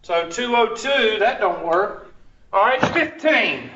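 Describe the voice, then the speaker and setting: A man's voice: sits high, lively intonation, slow, noisy background. A man teaching a math class, working problems aloud and questioning students in a classroom. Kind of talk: classroom lesson. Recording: echoey classroom, dull far-off sound.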